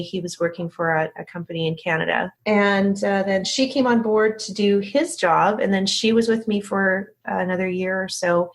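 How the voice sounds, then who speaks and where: A woman being interviewed, talking casually in anecdotes with a candid, informal and self-deprecating manner. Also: medium pitch. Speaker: interview guest, speaker agency founder.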